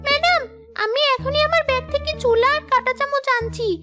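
A performer voicing a naive, eager little ghost schoolgirl with a squeaky childlike voice in a children's cartoon. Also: a little fast, sharp tone, faint music behind. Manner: eager, innocent.

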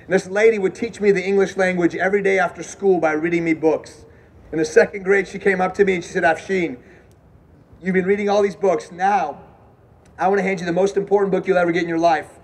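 A man in his thirties with a notably high voice preaches to a college crowd. He is animated and chatty, asking the room questions as he goes. He is on a dark stage in a large hall, wearing a lapel mic. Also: brisk. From Houston, Texas. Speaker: campus preacher. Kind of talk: evangelistic sermon with testimony.

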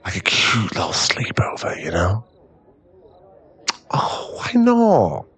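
A man's voice: low voice, huge pitch swings, a bit bright, slightly rough, full character voice. A man acting a shy, stammering young boyfriend in an ASMR roleplay audio drama. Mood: tipsy, playful. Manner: halting, stammering, repeats words. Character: young male millionaire boyfriend, shy, later tipsy and clingy.

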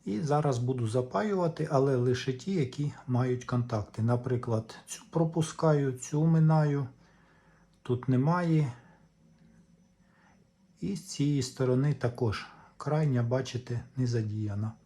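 A man's voice: mid-range voice, measured pace, dark tone. A man giving unscripted, step-by-step repair instructions in short, methodical phrases. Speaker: repair tutorial host.

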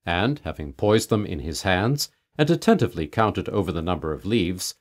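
A man reading a literary tale aloud as a narrator, in a measured, formal storytelling manner. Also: fairly low pitch. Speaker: audiobook narrator.

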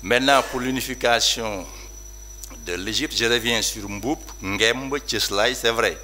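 A man speaking at a conference, weighing his points in a measured, reflective way. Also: mid-range voice, pushed and bright, slight background noise. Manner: measured, reflective, hedging remarks. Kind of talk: academic talk.

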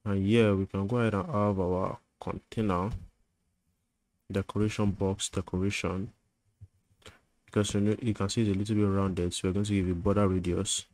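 A man's voice: low voice, little pitch movement, moderate pace, a little mellow, rough recording. A man giving a screen-recorded coding tutorial, thinking aloud as he types, with fillers and self-corrections.

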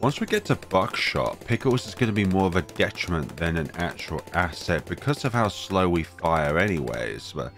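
A man's voice: deep voice, sing-song, normal speed, few pauses, fairly bright tone. A man with a cold narrating gameplay in a casual, wry way, talking to his game units as he plays. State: has a cold, voice deeper than usual. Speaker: game guide creator.

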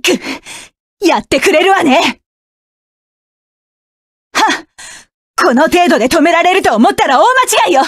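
A woman's voice: very high voice, expressive, unhurried, pauses often, no echo, studio quality. A woman voicing a proud, fierce young woman mech pilot who barks curt, commanding and scornful lines. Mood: cocky, commanding. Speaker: voice actress. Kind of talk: game voice clip collection.

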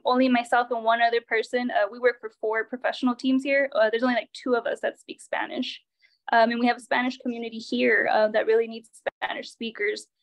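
A young woman from Southern California, speaking as a panelist on a video call in a chatty way, with many fillers. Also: a little high.

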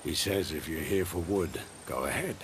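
Gruff supernatural go-between for a game, male actor; low register, laconic, sardonic. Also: moderate pace, noisy background, natural voice. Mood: dry, sardonic. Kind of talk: video game cutscene acting. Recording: very loud music behind the voice.

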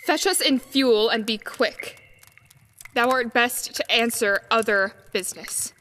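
A woman's voice: fairly high pitch, unhurried, fairly bright tone. A woman playing a stern, threatening sorcerer parent, imperious and scornful, in a Shakespearean radio play. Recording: reverberant hall sound, faint noise.

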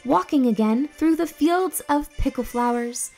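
A young woman hosting a children's read-aloud, reading a storybook in an expressive, deliberate, exclamatory way. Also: sits high, soft background music.

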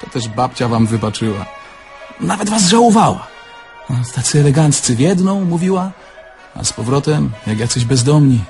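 An actor playing a naive, candid young Polish car thief in an audio drama, talking in a plain, conversational way. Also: male voice, mid-range voice, sing-song, normal speed, a little mellow, faint music behind. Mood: wry reminiscing. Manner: conversational, plain-spoken, reminiscing.

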